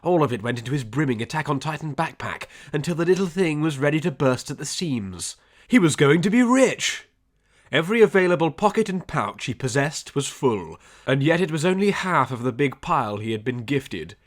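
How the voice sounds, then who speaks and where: A man's voice: a little high, very animated, a little fast. A man reading a scripted adventure story as an audiobook narrator, with dramatic delivery.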